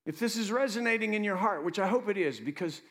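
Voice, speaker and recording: A man preaching as pastor, moving between loud exhortation and quiet asides, joking with his congregation. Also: high voice, lively intonation, fast. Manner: jokes with the congregation. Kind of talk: preaching a sermon.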